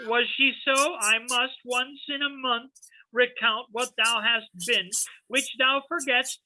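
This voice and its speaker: A woman's voice: a little high, sharp tone, exaggerated voice, low-quality recording. A woman playing a commanding, imperious sorcerer in a Shakespeare reading, declaiming theatrically to a servant spirit. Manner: slow, stern, theatrical declamation.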